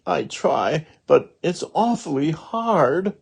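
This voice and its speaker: A man's voice: on the high side, lively intonation, takes it slowly, vocal fry, breathy edge, pitched above the performer's own voice, exaggerated voice. A man voicing a curious, outspoken eight-year-old girl in a storybook, with an earnest, questioning manner. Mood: reluctant.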